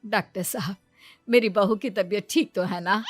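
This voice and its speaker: A woman's voice: mid-range voice, moderate pace, close to an everyday voice. A woman voicing a caring, fussing older mother-in-law in a moral story, with a concerned, motherly manner. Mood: reassuring. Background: background music.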